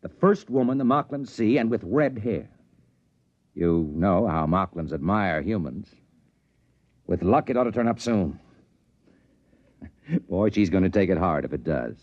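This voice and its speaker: Wry, lively low-voiced American schemer. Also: male voice, a little slow, pauses often, dry recording. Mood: scheming, wry. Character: shrewd, wry trading-post man, confidently scheming. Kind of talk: 1950s radio drama acting. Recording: dull vintage radio recording.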